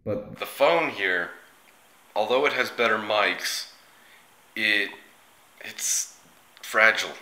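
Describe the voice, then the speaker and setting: A young man answering viewer comments in a relaxed, rambling vlog chat, with frequent fillers and restarts, filmed on a webcam at his desk. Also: on the low side, slow, pauses often, roomy sound. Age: young adult. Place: bedroom with shelves, indoors.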